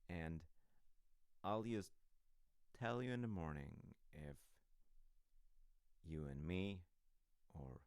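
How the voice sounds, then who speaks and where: Male actor as doting husband, very low voice, slow halting delivery, sheepish and sassy, bedtime ASMR roleplay. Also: expressive, a little mellow, smooth, dry recording, clean studio sound, exaggerated voice. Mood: evasive, playful. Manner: halting, rambling, hedging delivery. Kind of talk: intimate ASMR audio roleplay.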